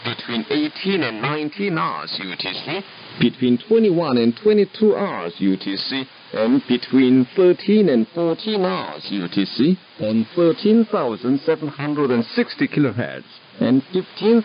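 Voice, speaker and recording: A man reading formal, measured announcements as a radio announcer, heard over shortwave radio. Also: medium pitch, very animated. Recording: muffled, hissy shortwave radio reception.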